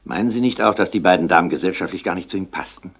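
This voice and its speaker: An actor playing a wry, chatty police official in a radio crime drama. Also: male voice, low voice, racing, fairly bright tone, husky, heavily acted. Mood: wry, gossipy. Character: brisk, talkative, wryly amused police official. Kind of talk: 1960s German radio crime drama acting.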